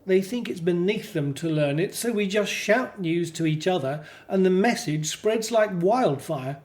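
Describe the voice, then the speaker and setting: A man's voice: sits high, lively intonation, a little slow, a little mellow. A man playing a hopeful Hebrew slave and family man in a scripted radio drama, telling things earnestly. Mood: hopeful, reassuring.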